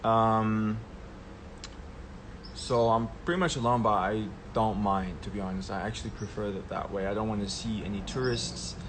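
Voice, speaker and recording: A young man hosting a casual live stream, talking in a relaxed way and often checking in with "right?" and "yeah?". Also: on the low side, normal speed. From Germany. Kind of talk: casual livestream chat. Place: outdoors at a travel spot. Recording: noisy background ambience.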